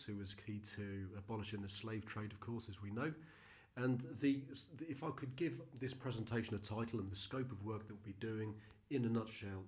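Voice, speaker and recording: A middle-aged man from Britain speaks in a lowish voice, giving a recorded slide presentation to a church congregation. He sounds earnest and measured, and he often stops to fill gaps with ums and uhs. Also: a little mellow. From United Kingdom. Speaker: mission partner presenting to a church.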